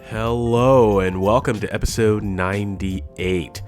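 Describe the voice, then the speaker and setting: A man's voice: lowish voice, steady tone, takes it slowly, soft background music. A man hosting his podcast while sick with a cold, sounding froggy and nasal, chatting casually and self-deprecatingly. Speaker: podcast host. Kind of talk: casual solo podcast talk.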